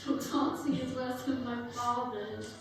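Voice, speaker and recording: Young actress as teasing sweetheart, high, echoing. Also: female voice, fairly even intonation, measured pace. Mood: playful, teasing. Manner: playful, proper. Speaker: youth stage actor. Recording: very echoey hall, distant mic, music behind.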